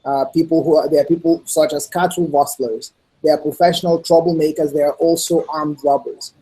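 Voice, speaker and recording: A middle-aged man answering as a guest in a television interview, speaking in a measured, analytical way with frequent pauses. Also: fairly high pitch, steady tone, mellow, husky, poor audio. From Nigeria.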